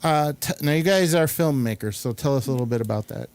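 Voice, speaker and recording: A man hosting a casual interview, speaking in a halting, hesitant way with many pauses. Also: mid-range voice, darkish tone, a little hiss or hum. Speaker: show host and interviewer.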